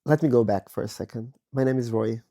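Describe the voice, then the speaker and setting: A man in his thirties from Israel, hoarse and raspy from a virus, reflecting slowly and candidly from his bed as a podcast host. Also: mid-range voice, mellow. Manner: slow, thoughtful, candid, with many pauses. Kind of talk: personal reflective monologue. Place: bedroom, recording from bed.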